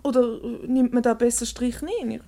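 An actress playing an amused, quick-witted wife in a Swiss German radio comedy, teasing and ironic in manner. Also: female voice, on the high side, creaky, dark tone. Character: amused, quick-witted wife, unruffled by her flirting husband.

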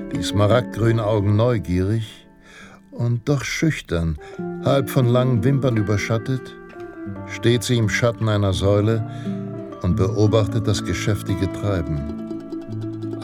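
An actor playing a solemn narrator of a pulp Western radio play, deliberate and lofty in delivery. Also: male voice, on the low side, lightly acted. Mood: romantic, dreamy. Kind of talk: dramatic Western storybook narration. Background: prominent plucked guitar music.

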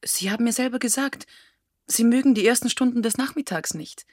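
An actress playing a husky teasing young woman. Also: fairly high pitch, darkish tone, no room sound, natural voice. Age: young adult. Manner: teasing, quick replies. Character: young woman, playful and quick. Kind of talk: film dub.

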